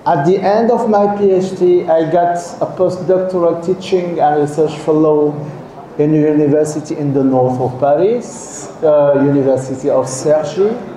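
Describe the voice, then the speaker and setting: Professor in an echoey hall. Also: male voice, fairly high pitch, normal speed, warm tone. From Algeria. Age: middle-aged. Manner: keeps checking in with the audience, repeats and restarts phrases. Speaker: guest professor lecturing students. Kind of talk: seminar lecture. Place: lecture hall. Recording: echoey lecture hall with faint noise.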